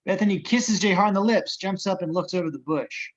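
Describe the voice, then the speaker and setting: A man's voice: high-pitched, fairly quick, lo-fi sound. A man narrating the action of a script as reader of stage directions in an amateur table reading.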